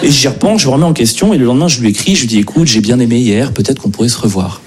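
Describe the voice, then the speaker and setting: A man doing stand-up comedy, telling a self-mocking story in an animated way. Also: mid-range voice, rapid-fire, noisy background. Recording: live comedy club with crowd. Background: audience laughter.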